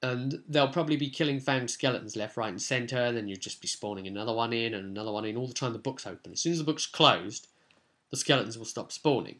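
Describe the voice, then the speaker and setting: A man's voice: medium pitch, quick pace, a bit bright. Man casually recapping a tabletop game. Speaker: tabletop role-play vlog host.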